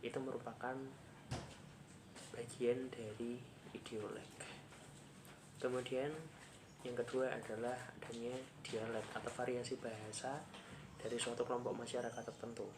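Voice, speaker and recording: Male lecturer, monotone, steady point-by-point delivery, noisy room. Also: medium pitch. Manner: measured, listing points one by one. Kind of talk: scripted lecture narration. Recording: audible background noise.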